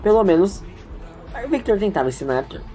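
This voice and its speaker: A woman's voice: mid-range voice, sing-song, a little fast, warm tone, soft background music. A woman narrating an audio story informally, with chatty, reflective asides addressed to the listeners. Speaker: narrator and host.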